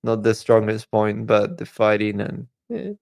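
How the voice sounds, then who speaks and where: A man's voice: on the low side, little pitch movement, a little slow, creaky, darkish tone, no echo, studio quality. A man chatting casually as co-host of a podcast.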